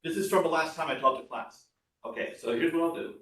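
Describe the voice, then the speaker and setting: A man's voice: a little high, fast talker, a bit bright. A man giving an informal classroom lecture, explaining code step by step in a conversational way as a university instructor. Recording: echoey room, voice far from mic.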